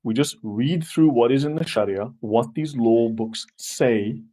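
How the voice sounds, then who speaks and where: A man's voice: medium pitch, a little slow. Insistent male livestream host. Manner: forceful, repeatedly challenging a viewer. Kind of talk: combative livestream talk.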